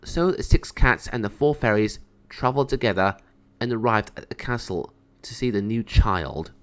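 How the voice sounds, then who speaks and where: A man's voice: fairly low pitch, lively intonation, moderate pace. A man reading scripted narration from a children's story aloud. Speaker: storybook reader.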